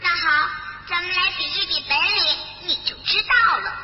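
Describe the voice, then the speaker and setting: A woman's voice: very high-pitched, moderate pace, dull audio, pitched above the performer's own voice. A woman voicing a small, sly, boastful frog in a children's storybook, with a bold, taunting manner. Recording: echoey reverberant hall, distant, music behind.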